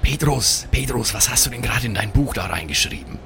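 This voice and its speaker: A man improvises as a player in a tabletop role-play. His pitch is middling and swings around a lot, and he chats in a chummy, casual manner. There is faint noise behind him. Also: lively intonation, brisk, fairly bright tone. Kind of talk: improvised in-character chat.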